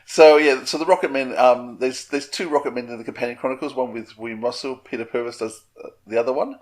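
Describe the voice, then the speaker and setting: A man co-hosting a podcast, chatting casually in a halting way with restarts. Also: mid-range voice, steady tone. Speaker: podcast co-host. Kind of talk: casual chat.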